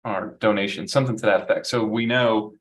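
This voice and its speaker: A man chairing a city advisory council meeting, brisk, procedural and polite. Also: fairly low pitch, steady tone.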